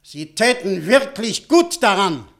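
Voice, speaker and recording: A man's voice: sits high, sing-song, takes it slowly, gravelly, a bit bright, full character voice. An actor playing a suspicious, domineering businessman in a German radio play, sarcastic and interrogating. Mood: threatening. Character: suspicious, domineering businessman convinced he is being blackmailed.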